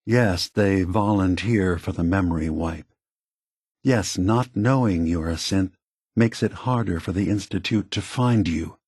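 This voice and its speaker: An actor playing a gentle, weary old male android leader in a video game, husky and soft-spoken, with a measured, contemplative, earnest manner. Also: low voice, dry recording, clean studio sound. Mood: earnest, concerned. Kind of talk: video game character dialogue.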